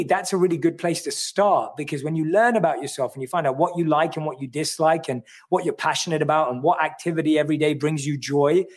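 A man with a London accent who talks through his ideas in an earnest, reflective way. His voice sits a little high for a man. He speaks as an author in a livestream chat. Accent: London English. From London, England. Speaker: author and guest. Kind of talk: livestream conversation.

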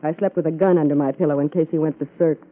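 An American actress playing a jaded, sharp-tongued ex-showgirl wife in a 1950s radio crime drama, sardonic and world-weary. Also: deep voice, steady tone, fast. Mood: bitter, weary. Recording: thin, phone-like vintage radio recording.

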